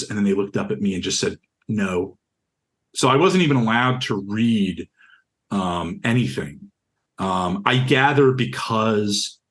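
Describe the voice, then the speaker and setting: A young man with a deep, carrying voice tells of his own experience in an interview, earnest and stop-start, often repeating words as he searches for the next one. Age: young adult. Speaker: interviewee, university student. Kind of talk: recounting a personal experience in an interview.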